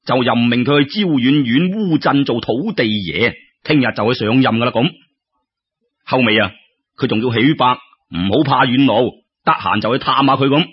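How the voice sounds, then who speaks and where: Husky Cantonese male storyteller. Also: medium pitch, normal speed. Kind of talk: colloquial oral storytelling narration. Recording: dull lo-fi radio-style recording.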